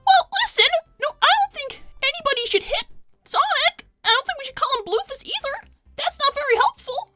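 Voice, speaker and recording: A woman's voice: extremely high pitch, big pitch swings, a little fast. A woman playing an exasperated, bossy group therapy leader who scolds and interrupts, in an improvised cartoon roleplay. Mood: pleading, weary. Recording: music playing behind the voice. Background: music.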